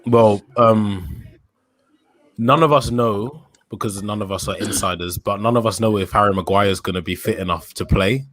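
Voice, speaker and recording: A young man in his early twenties, a podcast host, calmly building his case in a casual football chat. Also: fairly low pitch, moderate pace, low-quality recording. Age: young adult. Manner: thoughtful, builds an argument with hesitations.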